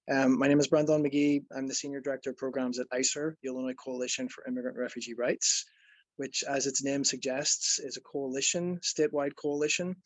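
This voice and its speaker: A man's voice: medium pitch, no echo, poor audio. A man from Ireland, presenting as a nonprofit program director in a webinar, earnest and explanatory, with frequent fillers and restarts.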